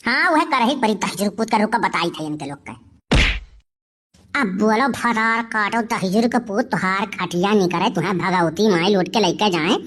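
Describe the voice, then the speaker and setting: A woman's voice: mid-range voice, normal speed, fairly bright tone, slight background noise, close to an everyday voice. Woman doing an angry village auntie, awadhi accent, cartoon comedy, scolding and cursing everyone. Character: furious, scolding village matriarch, threatening and cursing. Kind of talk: comic cartoon dialogue.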